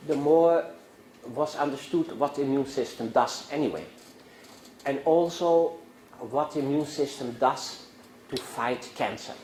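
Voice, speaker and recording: An older man from the Netherlands with a Dutch accent gives a university lecture to students, talking in a discursive way with halting restarts and rhetorical questions. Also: fairly high pitch, a little mellow. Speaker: guest lecturer. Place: university classroom. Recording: echoey classroom with faint noise.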